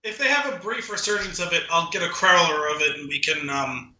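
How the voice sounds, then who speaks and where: A man's voice: on the high side, a little fast, roomy sound, very noisy. A man co-hosting a podcast in casual chat, telling stories with offhand profanity. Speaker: podcast co-host.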